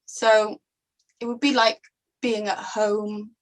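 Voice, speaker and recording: A woman giving voice to a deaf interviewer, speaking thoughtfully with unhurried pauses. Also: mid-range voice, flat delivery.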